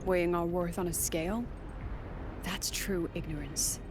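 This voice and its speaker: An actress voicing a composed, cryptic young woman academy president in a video game, speaking with measured poise. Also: on the low side, steady tone, background noise, lightly acted. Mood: reflective, composed.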